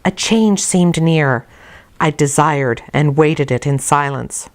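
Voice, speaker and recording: A woman narrating a literary story in a measured, careful reading manner. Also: deep voice. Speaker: audiobook narrator.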